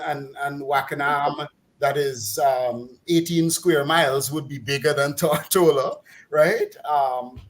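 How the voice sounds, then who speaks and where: A middle-aged man with a Guyanese accent, talking casually in a mocking, exasperated way and laughing now and then as he rants. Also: a little high. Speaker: commentator on a talk program. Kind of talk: casual opinionated chat.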